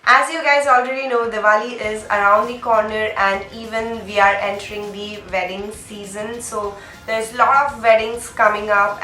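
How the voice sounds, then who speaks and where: A woman's voice: medium pitch, sharp tone. Nasal-voiced woman vlogger with a cold. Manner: chatty, explains step by step. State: sick with a cold. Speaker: beauty vlogger. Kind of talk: makeup tutorial talk. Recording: echoey room, faint music.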